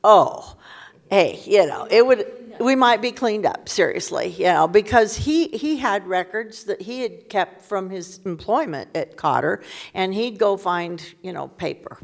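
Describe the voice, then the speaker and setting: A woman's voice: mid-range voice, expressive, a little slow, creaky. Chatty woman presenting at a public meeting. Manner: chatty, laughing asides, self-corrections. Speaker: community group panelist. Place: museum meeting room. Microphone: handheld microphone.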